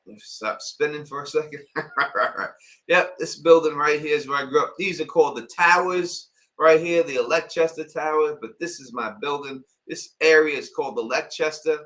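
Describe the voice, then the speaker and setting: Rambling New man, bright voice. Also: a little high, normal speed. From Queens, New York. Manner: rambling, lots of filler phrases. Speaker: live stream host. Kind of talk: casual live-stream Q&A.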